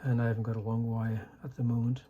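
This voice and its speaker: A man giving a casual, unscripted technical demonstration in a halting, low-key way, like a restorer explaining equipment. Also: flat delivery, slightly rough, narrow, tinny audio. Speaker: vintage radio restorer demonstrating a set.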